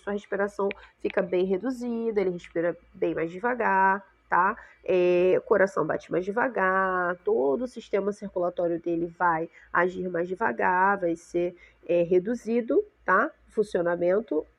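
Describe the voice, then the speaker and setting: Congested woman teaching a science class. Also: medium pitch. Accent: Brazilian Portuguese. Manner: explanatory, checks in with frequent "tá?" and "né?". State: congested with a cold. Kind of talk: remote class lesson for sixth graders.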